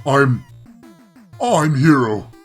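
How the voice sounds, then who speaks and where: A man's voice: medium pitch, big pitch swings, drawn-out words, husky, voice pushed up, exaggerated voice. Male performer as scared, defiant hero of a text adventure, strained and pleading, with music underneath. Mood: frightened. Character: frightened, defiant hero trapped in a dark game world. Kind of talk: dramatic reading of a text adventure game.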